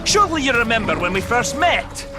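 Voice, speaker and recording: A man voicing a gruff, blustering and crude dwarf hunter in a video game cutscene. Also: extremely high pitch, big pitch swings, a little fast, over music. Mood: brisk, urgent. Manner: emphatic, blustering, grumbling.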